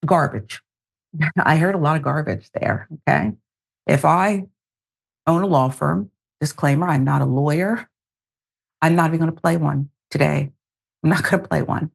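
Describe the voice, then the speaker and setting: A woman hosting a news show, reading reports and commenting in an emphatic, deliberate way with pointed asides. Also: low-pitched, vocal fry, breathy edge.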